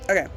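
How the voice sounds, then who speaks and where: Chatty woman gamer with very lively pitch. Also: vocal fry. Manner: chatty, thinking aloud, folksy. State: slight throaty tickle after a cold. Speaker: gaming video host. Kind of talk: casual let's play commentary.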